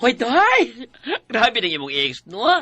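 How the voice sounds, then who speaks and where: Animated, blunt gossiping young woman. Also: a little high, takes it slowly, exaggerated voice. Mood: gossipy. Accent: Cebuano Filipino. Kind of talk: Filipino radio drama acting in Cebuano.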